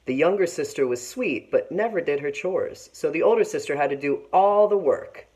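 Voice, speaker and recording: A woman teacher reading a storybook aloud in an expressive, explanatory manner with clear phrasing. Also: sits low, normal speed, low-quality recording. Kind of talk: storybook read-aloud with short lesson asides.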